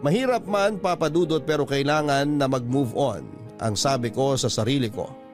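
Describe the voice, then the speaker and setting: Solemn male storyteller over soft music. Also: medium pitch, moderate pace. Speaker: radio host reading listener letters. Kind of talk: dramatic scripted story narration. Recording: music bed behind narration.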